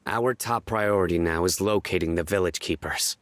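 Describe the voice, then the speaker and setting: An actor playing a stern, cold, menacing warrior in a video game, speaking in a terse deadpan. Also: male voice, sits low, moderate pace, slight background noise, close to an everyday voice. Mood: grim, composed.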